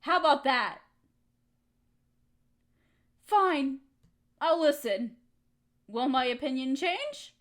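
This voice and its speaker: A woman's voice: sits high, animated, slow, long pauses, fairly bright tone, heavily acted. A woman playing a hurt, resentful young woman confronting her roommate in an emotional, accusatory audio roleplay monologue. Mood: angry, defiant. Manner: emotional, accusatory, pointed rhetorical questions.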